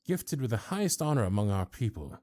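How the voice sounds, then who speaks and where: A man playing a stern, haughty old high councilor of a fantasy realm in an audio roleplay, speaking in formal, archaic, deliberate phrases. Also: big pitch swings, a little mellow. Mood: scornful.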